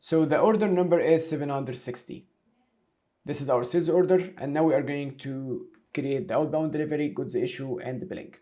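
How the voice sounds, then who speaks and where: A male instructor narrates a software walkthrough in a methodical, teacherly way. His voice sits a little high for a man, yet the tone is very mellow and relaxed. He explains the procedure one step at a time. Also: fairly quick. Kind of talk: step-by-step tutorial narration of a screen demonstration.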